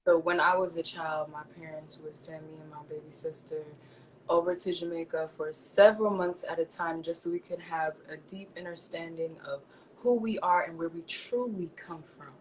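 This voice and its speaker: New woman guest, expressive and spiritual, lowish voice, video call. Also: moderate pace, slight background noise, low-quality recording. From New York, United States. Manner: spiritual, expressive, talks in lofty phrases. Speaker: guest, chef and seasoning maker. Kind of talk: interview answers.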